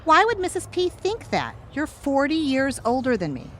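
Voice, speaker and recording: A woman's voice: high-pitched, wildly swooping pitch, normal speed, fairly bright tone, slight background noise, full character voice. A woman playing a perky, blunt young waitress in an audio comedy, teasing as she talks. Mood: incredulous. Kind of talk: audio theatre comedy acting.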